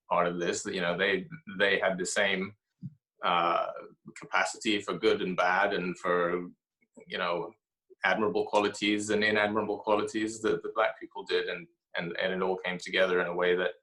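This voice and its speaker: Male author in interview, low pitch with a crisp bright edge, reflective, halting delivery with many fillers. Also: poor audio. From grew up in Haiti and Ethiopia. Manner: reflective, pauses often with fillers and restarts. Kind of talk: thoughtful interview answers.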